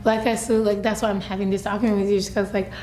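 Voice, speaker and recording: A woman's voice: mid-range voice, little pitch movement, very fast, a little mellow. Young woman with a Japanese accent, roomy echo. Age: young adult. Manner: frank, many "like" fillers. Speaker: student interviewee. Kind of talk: casual interview answers.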